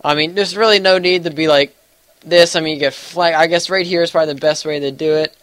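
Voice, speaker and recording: A young man with a stuffy, allergy-congested voice talks through gameplay in a loose, rambling way. His voice sits a little high for a man, and he keeps hedging with fillers as he goes. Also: fairly even intonation, fast, a bit bright, no echo. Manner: rambling, full of fillers and hedges. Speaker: gaming YouTuber. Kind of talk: casual gameplay commentary.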